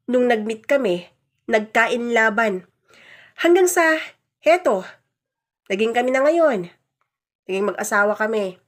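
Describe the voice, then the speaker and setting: A woman voicing a cocky married man in a radio-style drama, talking casually and boastfully with his buddies. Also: a little high.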